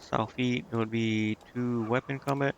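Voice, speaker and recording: A man's voice: medium pitch, fairly even intonation, normal speed, lo-fi sound, slight background noise. A man thinking aloud in casual, indecisive gameplay commentary, muttering to himself as he streams. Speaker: game streamer.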